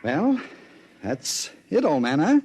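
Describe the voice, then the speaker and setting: A genial, pompous politician-overlord in fifties radio sci-fi. The voice is a bit high with broad swings in pitch. He talks chummily, as if always making a speech. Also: sing-song, slow pace, darkish tone, husky, exaggerated voice. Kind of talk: 1950s radio drama acting.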